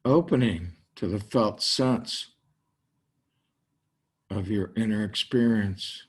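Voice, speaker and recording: An older man leading a guided meditation, speaking in slow, spacious phrases with long pauses. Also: fairly low pitch, husky. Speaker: meditation teacher.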